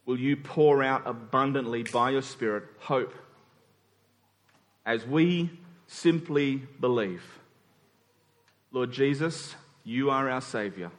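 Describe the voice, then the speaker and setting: A man preaching a sermon in an earnest, emphatic way, repeating phrases and pausing deliberately. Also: medium pitch. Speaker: pastor preaching to his congregation. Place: church hall during a service. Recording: reverberant hall sound.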